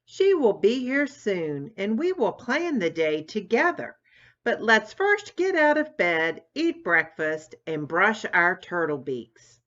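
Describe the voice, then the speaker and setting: A woman voicing a calm, patient mother turtle in a storybook, gentle but firm. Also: mid-range voice, takes it slowly, dull audio.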